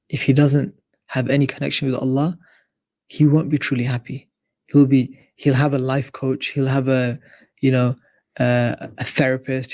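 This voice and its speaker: A man's voice: medium pitch, warm tone. A man giving an earnest live radio sermon, building his points through repeated lists. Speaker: radio presenter giving an Islamic talk.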